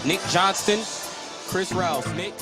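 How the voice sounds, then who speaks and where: High-pitched male basketball play-by-play announcer. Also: slow pace, music in the background. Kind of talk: live high school basketball radio commentary.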